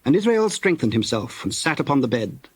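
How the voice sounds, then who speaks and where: A man narrating scripture from a script in a measured, solemn storytelling manner. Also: mid-range voice, sing-song, breathy edge, no echo.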